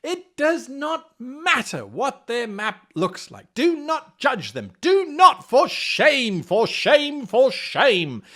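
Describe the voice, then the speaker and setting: A man's voice: very high-pitched, huge pitch swings, takes it slowly, hardly any pauses, pushed and bright. A man hosting a tabletop role-playing lesson, giving game master advice in a theatrical, exaggerated manner. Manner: theatrical, exaggerated, acts out mock dialogue.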